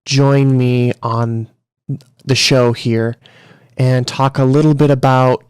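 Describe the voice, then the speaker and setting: A man's voice: mid-range voice, little pitch movement, slow pace, clean tone. A man hosting an interview podcast, introducing his guest with loose, filler-heavy phrasing. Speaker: podcast host.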